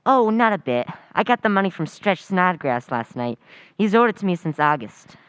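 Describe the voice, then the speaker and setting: A woman's voice: on the low side, big pitch swings, moderate pace, clean tone, dry recording. A woman playing an eager, chatty teenage schoolboy in an old-time radio comedy, with gushing politeness. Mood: chatty, earnest. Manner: earnest, gushing, boyish.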